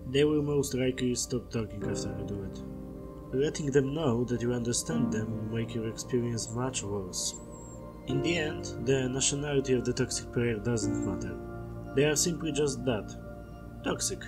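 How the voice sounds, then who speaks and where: A man's voice: medium pitch, moderate pace. Polish-accented man narrating over music. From Poland. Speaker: video creator. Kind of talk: scripted video essay narration. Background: background music.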